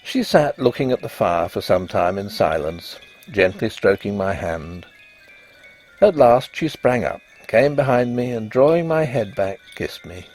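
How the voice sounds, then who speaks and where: A man narrating a gothic literary tale in an expressive, measured and dramatic audiobook style. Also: fairly low pitch, faint music behind.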